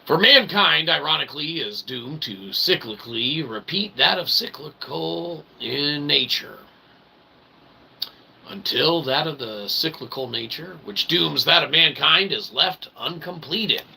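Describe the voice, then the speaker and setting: A man's voice: fairly high pitch, animated, measured pace, creaky, sharp tone, slight background noise, rough recording. A man hosting a show in a rambling, jokey, theatrical way with mock-formal wordy phrasing. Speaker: show host and author. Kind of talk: rambling show sign-off addressed to audience.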